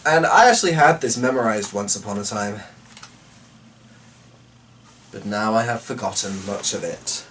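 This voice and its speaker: A man's voice: fairly low pitch, lively intonation, brisk, a bit bright. A man hosting a casual vlog, rambling to his viewers in a muttering, distracted, mildly exasperated way. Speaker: vlog host. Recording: distant mic with faint background noise.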